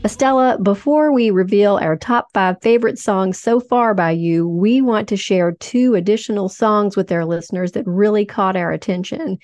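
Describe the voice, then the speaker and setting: A woman co-hosts a music podcast and reads admiring, scripted introductions. Her voice sits at a middle pitch. The recording is dry, with faint music behind it. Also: normal speed, hardly any pauses, a little mellow, no echo. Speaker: podcast co-host.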